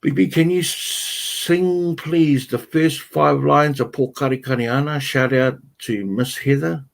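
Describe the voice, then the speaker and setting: Slow-talking New man hosting. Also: on the high side, fairly even intonation, vocal fry. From New Zealand. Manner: answers viewer comments one by one, halting and unhurried. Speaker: livestream host. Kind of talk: casual livestream chat.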